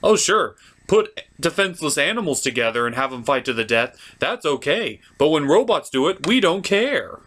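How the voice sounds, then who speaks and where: A man hosting a comedic review show, narrating a script in an animated, theatrical and exaggerated way with mock exasperation. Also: on the high side, moderate pace, few pauses.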